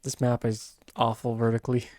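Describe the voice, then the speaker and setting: A man's voice: mid-range voice, monotone, a little fast, a little mellow, dry recording. A man from the United States, playing a game with friends and chatting casually in short, dry remarks. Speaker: co-player. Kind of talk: casual gaming chat.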